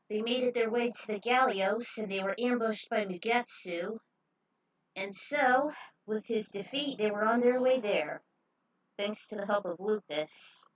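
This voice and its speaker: Woman doing casual story narration, slow pace, stretches words for emphasis, kinda far from the mic. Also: medium pitch, fairly bright tone. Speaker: host reading own fan fiction series. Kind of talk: casual recap and story read-aloud.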